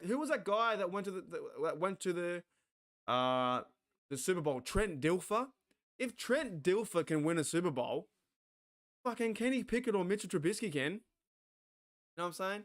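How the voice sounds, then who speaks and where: An Australian man hosting a sports watch party stream, rambling excitably, swearing and talking back to his chat. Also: on the high side. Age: 40s.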